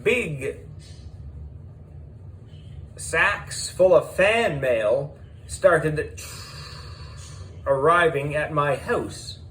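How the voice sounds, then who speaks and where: A man narrating a children's audiobook in the character of a schoolboy, animated and deliberate, with dramatic pauses. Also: fairly high pitch, a little hiss or hum, poor audio.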